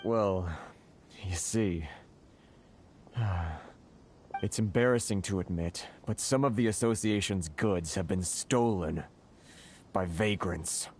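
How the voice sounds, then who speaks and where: A man's voice: sits low, takes it slowly, pauses often, a little mellow, a little hiss or hum. A man voicing a flustered, aggrieved merchant in a video game, hesitant and exasperated. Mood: dejected, exasperated.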